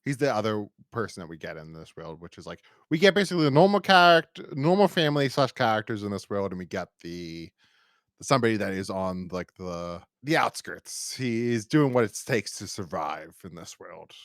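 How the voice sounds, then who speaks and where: A man's voice: on the low side, big pitch swings, moderate pace, no echo, clean studio sound. A man hosting a podcast, talking casually in a rambling, opinionated and emphatic way as he reviews. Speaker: podcast host.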